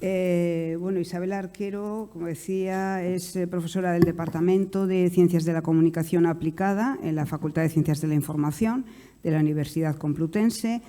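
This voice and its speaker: Female panel moderator, warm dark timbre, halting formal introductions. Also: fairly low pitch, steady tone. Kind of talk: introducing a speaker.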